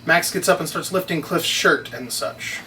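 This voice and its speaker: A man's voice: on the high side, expressive, normal speed, pushed and bright. A man reading stage directions as scripted narration at a table read. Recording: distant mic, noisy room ambience.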